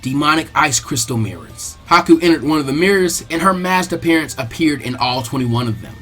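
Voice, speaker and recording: A man's voice: on the high side, lively intonation, a little fast, fairly bright tone. A man reading fan fiction as a dramatic audiobook-style narration. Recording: music behind the voice. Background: music.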